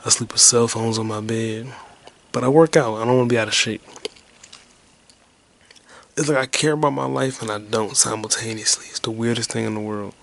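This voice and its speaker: A man in his twenties rambling alone on his podcast in a detached, low-energy, half-whispered way. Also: fairly even intonation, brisk, gravelly, long pauses, fairly bright tone. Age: 20s. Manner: low-key, detached, mumbling. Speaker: podcast host. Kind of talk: rambling solo podcast monologue.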